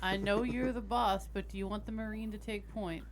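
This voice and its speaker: A woman's voice: mid-range voice, fairly even intonation, fairly quick, crowd noise. A woman chatting casually as a player in a tabletop roleplaying game. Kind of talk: casual chat.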